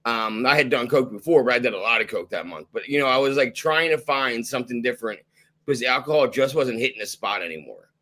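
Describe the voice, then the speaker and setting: A man in his thirties hosting a podcast, laid-back and quick to laugh, swearing casually. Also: medium pitch, animated, sharp tone. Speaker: podcast host. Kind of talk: casual interview chat.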